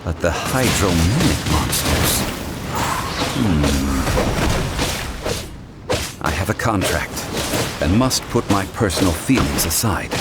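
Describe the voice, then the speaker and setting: Deep, measured gentleman scholar. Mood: grave, decisive. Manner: measured, formal, expository. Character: calm, learned, dignified gentleman scholar. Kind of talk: scripted game cutscene dialogue.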